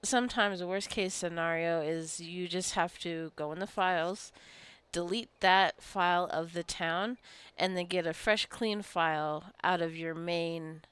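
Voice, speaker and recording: Smooth-voiced woman chatting over gameplay. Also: fairly low pitch, steady tone, measured pace, a bit bright, dry recording. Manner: rambling, chatty asides. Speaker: gaming video creator. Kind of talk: casual gameplay commentary.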